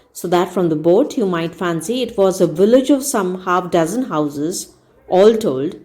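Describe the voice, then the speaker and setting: Woman, mellow soft-toned voice, mid pitch, audiobook narration, measured, expressive emphasis on key words. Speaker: storyteller reading a book aloud. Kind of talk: scripted audiobook narration of a comic novel.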